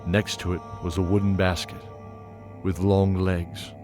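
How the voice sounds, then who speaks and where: A man giving dramatic scripted narration of a fantasy tale, measured, pausing between short phrases. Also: low-pitched, level tone. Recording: loud music under the voice.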